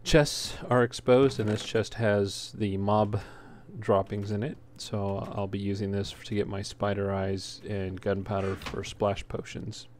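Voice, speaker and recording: A man's voice: on the low side, flat delivery, measured pace, few pauses, darkish tone. A man hosting a gaming video with casual, unscripted walkthrough commentary, speaking a little hesitantly. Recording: noisy home recording, clear background noise.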